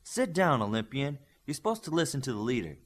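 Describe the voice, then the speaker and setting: A man voicing a curt, bossy young superhero team leader in audiobook dialogue, brisk and challenging. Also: medium pitch, very animated, pitched above the performer's own voice. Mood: commanding.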